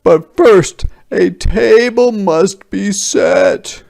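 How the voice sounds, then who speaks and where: A man voicing crafty, cajoling soldiers from a children's storybook, in a coaxing, emphatic, drawn-out way. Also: high-pitched, creaky, a little mellow, pitched above the performer's own voice, full character voice. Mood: grand, polite. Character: crafty, cajoling soldiers angling for soup ingredients.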